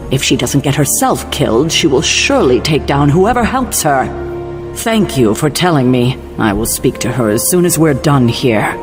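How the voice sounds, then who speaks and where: An actor playing a stern, protective male leader in a video game, crisp, formal and easily indignant. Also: medium pitch, close to an everyday voice. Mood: exasperated. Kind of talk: video game cutscene acting.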